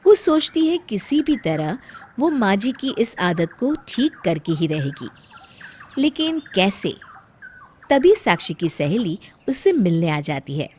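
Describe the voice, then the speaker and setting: A woman storyteller reading a scripted tale in an expressive narrating style. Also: medium pitch, husky.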